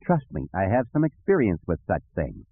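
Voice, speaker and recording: Guy doing a cocky sci-fi rogue, smooth talker, husky edge, lively, audiobook. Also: deep voice, moderate pace, phone-quality sound, exaggerated voice. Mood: confident.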